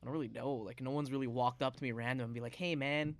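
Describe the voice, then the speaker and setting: A man's voice: mid-range voice, fairly even intonation, fast, no echo. A man co-hosting a podcast, telling stories in a rambling way full of fillers. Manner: rambling, filler-heavy storytelling. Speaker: podcast co-host. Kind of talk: casual chat. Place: basement.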